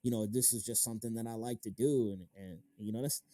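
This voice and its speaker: A man in his thirties with an American accent, hosting a casual interview and telling stories in animated, slangy talk. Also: lowish voice, fast talker, dry recording, studio quality. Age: 30s. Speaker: interviewer and channel host.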